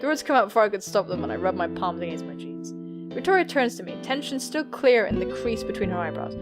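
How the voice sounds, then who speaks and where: A woman's voice: mid-range voice, lively intonation, fast, over music, lightly acted. A woman voicing an earnest, nervous student girl who narrates her thoughts in a visual novel game. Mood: awed.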